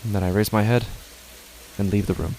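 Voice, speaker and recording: A man narrating a first-person horror story in a measured, tense way. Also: lowish voice, fairly even intonation, vocal fry, mellow, a little hiss or hum, rough recording.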